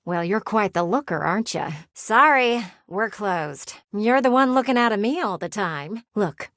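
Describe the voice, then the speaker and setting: Flirty, wistful living window gal for a game, folksy drawl, super swoopy pitch, dry close mic. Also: female voice, expressive, a little slow, a bit bright, no room sound. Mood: coy, teasing. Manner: folksy, teasing, theatrical drawl with sighs. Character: talking window, flirty yet wistful, folksy female people-watcher. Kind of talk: video game character voice acting. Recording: close, dry studio recording.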